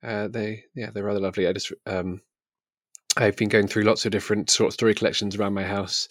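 A man with a fairly low voice chats about books as a podcast co-host. He talks casually and tentatively, often correcting himself mid-sentence. The sound is close and dry, with very little room around it. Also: flat delivery, fairly quick, fairly bright tone, dry recording. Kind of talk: casual book chat.

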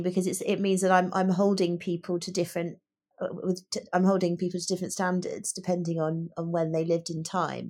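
A woman's voice: fairly low pitch, darkish tone. A woman co-hosting a book discussion, talking in a reflective, articulate and questioning way. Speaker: podcast co-host, teacher.

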